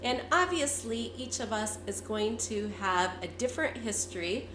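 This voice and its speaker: A fifty-year-old woman, hoarse and congested from a cold, chatting candidly to her viewers. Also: on the high side, expressive, normal speed, reverberant, background noise. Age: 50s. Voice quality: raspy. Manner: candid, chatty, with frequent fillers. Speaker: vlogger. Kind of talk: casual talk to camera.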